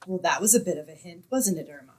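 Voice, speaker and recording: A woman's voice: fairly low pitch, lively intonation, quick pace, slight background noise, lightly acted. A woman playing a sensible, wry, long-suffering young roommate in old-time radio comedy style. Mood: sensible, brisk. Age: young adult. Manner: sensible, wry, gently bossy.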